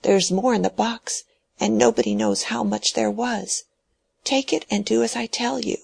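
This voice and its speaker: Woman reader doing a cranky, shaking dying old man, gravelly, breathy, dry close mic. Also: a little high, fairly even intonation, normal speed, darkish tone, dry recording, voice pushed up, heavily acted. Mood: agitated. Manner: pleading and commanding, voice shaking.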